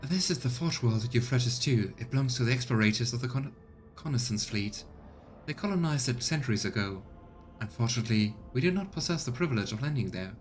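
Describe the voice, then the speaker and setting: A man voicing a formal, deferential ship's officer in a video game, measured and courteous. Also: medium pitch, expressive, soft background music, close to an everyday voice. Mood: formal, regretful. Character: formal, deferential officer advising a starship lord.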